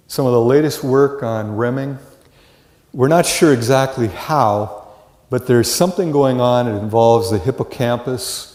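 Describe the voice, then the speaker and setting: A reverberant hall carries the voice of an older American man lecturing to students. He speaks at a middling pitch, with a storyteller's delivery and plenty of rhetorical questions thrown out to the class. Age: 60s or older. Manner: animated storytelling, rhetorical questions, acts out brief dialogues. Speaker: college psychology lecturer.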